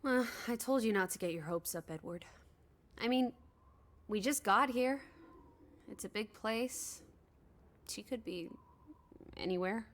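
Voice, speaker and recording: A woman's voice: fairly high pitch, unhurried, long pauses, lightly acted. An actress playing a bold, impatient young female companion in a video game, blunt and wry, coaxing and teasing. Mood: teasing, reassuring. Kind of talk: naturalistic video game voice acting.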